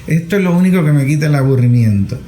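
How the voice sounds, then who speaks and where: A man's voice: a little high, lively intonation, fast, mellow. A middle-aged man interviewing a guest in a conversational way. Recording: echoey, roomy sound.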